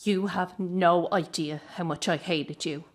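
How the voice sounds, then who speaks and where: A hurt young adult daughter in a radio play acted by a woman, with a slightly low voice. She is blunt and reproachful, resentful as she confronts her mother. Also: fairly even intonation, measured pace. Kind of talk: radio drama acting.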